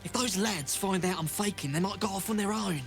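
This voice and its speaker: A man's voice: high-pitched, fairly quick, soft background music. An actor playing a small, sly, sentimental male creature with a whiny, conspiratorial manner. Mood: secretive, fond.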